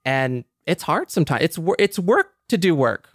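A man's voice: a little high, big pitch swings, normal speed, fairly bright tone, no echo, clean studio sound. A man chatting casually as a podcast panelist, agreeable and a little hesitant in how he puts things. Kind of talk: casual conversation.

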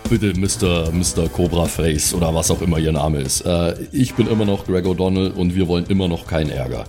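A man's voice: deep voice, a little fast, dark tone, soft background music. A man speaking in character as a player in a tabletop role-playing game.

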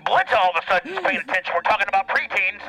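A man's voice: sits high, big pitch swings, fast, pushed and bright, soft background music, rough recording. A man hosting a comedy radio show, teasing and ordering the others around like a ringleader.

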